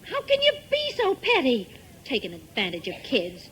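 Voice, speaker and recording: An actress playing an exasperated, conscientious wife, reproachful and emphatic, in an old-time radio sitcom. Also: female voice, sits high, wildly swooping pitch, moderate pace, a bit bright, husky, full character voice. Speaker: radio comedy actor.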